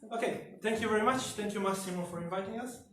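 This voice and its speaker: A man's voice: high-pitched, fast talker. A man speaking as a researcher giving a conference presentation. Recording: very echoey room, distant mic, noisy.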